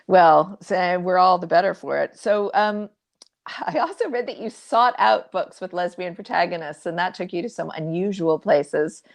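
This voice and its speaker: A woman's voice: lowish voice, moderate pace, creaky. A woman moderating a book event, asking questions in a conversational interview style.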